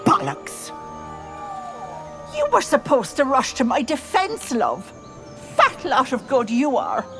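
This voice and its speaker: Sly old British hag, high swooping voice. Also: female voice, wildly swooping pitch, takes it slowly, music in the background, full character voice. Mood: scornful. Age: older. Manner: wheedling, falsely sweet, turning scornful. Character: wily old hag feigning a sweet, harmless grandmother. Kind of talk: video game character acting.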